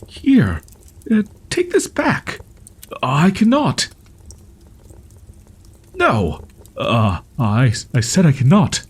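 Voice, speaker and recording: Man playing a husky, halting, proud wolf-boy. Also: a little high, wildly swooping pitch, full character voice. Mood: flustered, reluctant. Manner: archaic formal diction, halting, flustered stammers. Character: shy, proud tsundere young wolf-boy, wary of humans. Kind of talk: audio roleplay, character acting, ASMR.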